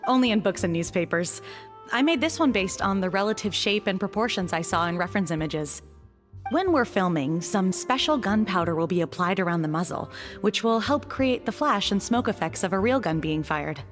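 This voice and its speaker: A woman's voice: mid-range voice, lively intonation, moderate pace, soft background music, natural voice. A woman voicing a modest, helpful young prop maker in an anime-style video game, explaining things pleasantly.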